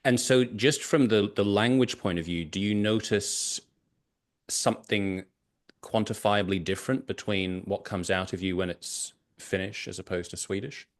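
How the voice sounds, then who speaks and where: A man's voice: on the low side, normal speed. A man who is a native English speaker, hosting a casual podcast interview and often repeating words as he frames his questions.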